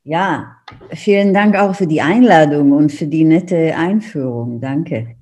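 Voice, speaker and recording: A woman's voice: low-pitched, unhurried, dark tone. A middle-aged woman from Israel with an Israeli accent, a native Hebrew speaker, giving a reflective, hesitant account as an interview guest. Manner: hesitant, searching for words.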